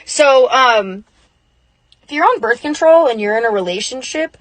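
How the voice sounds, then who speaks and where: A woman's voice: a little high, lively intonation, moderate pace, no echo, low-quality recording. A woman talking casually to camera in a rambling, chatty way, full of "like" and "um". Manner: chatty, rambling, uses fillers like "like" and "um". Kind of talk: casual vlog confession.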